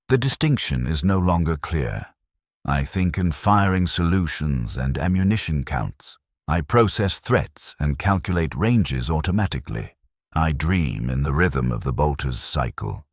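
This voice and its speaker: Very deep, husky war-weary soldier acted by a man. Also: dry recording, clean studio sound, exaggerated voice. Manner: grim, detached, deliberate, resigned. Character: war-numbed veteran male heavy gunner, scarred, fatalistic, dystopian sci-fi soldier. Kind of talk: scripted first-person dramatic narration.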